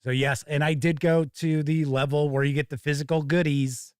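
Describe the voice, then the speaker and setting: A man's voice: fairly high pitch, level tone, normal speed, darkish tone, smooth, no echo, clean studio sound. A man hosting a live tabletop gaming show, chatting casually and reading news with a folksy, wry manner. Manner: folksy, wry, jokey asides.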